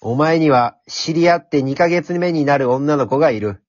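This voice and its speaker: A man voicing a brash, cheeky talking pencil in an amateur radio drama, speaking in rough, cocky, encouraging slang. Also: fairly high pitch, moderate pace, dry recording, muffled recording, lightly acted. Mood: cheeky, teasing.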